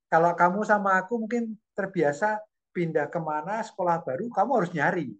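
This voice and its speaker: Middle-aged man from Indonesia, somewhat high voice, lively storyteller who circles back on his words. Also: brisk, muffled recording. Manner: animated, repeats phrases while searching for words. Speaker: guest recounting life abroad. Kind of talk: casual storytelling chat.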